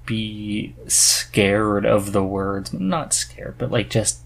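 A man's voice: fairly low pitch, drawn-out words, gravelly. Man chatting casually, searching for words. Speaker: podcast host. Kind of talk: casual chat.

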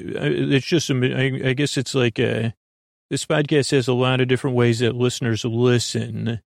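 Creaky voiced guy doing a rambly bedtime podcast, keeps interrupting himself, super dry close recording. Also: dry recording. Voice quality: gravelly, creaky. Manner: meandering, hesitant, self-interrupting, off on tangents; self-deprecating, low-key. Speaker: podcast host. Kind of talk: rambling, unscripted bedtime monologue.

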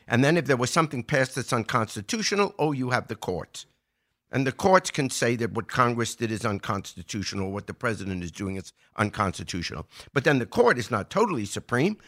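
A man's voice: medium pitch, bright, forceful tone. An older American man giving conversational political commentary, explaining step by step with emphasis and rhetorical questions.

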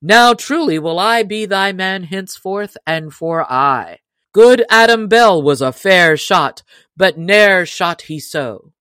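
A woman voicing a proud, surly male stranger who talks in a gruff, loud and boastful way. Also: fairly low pitch, expressive, slow pace, no echo.

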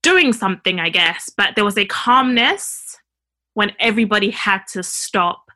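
Guest voice: woman, bright clear tone, relaxed and chatty, quick to laugh. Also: medium pitch. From Nigeria (family), raised in the UK. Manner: warm, laughs often, easygoing. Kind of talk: casual chat.

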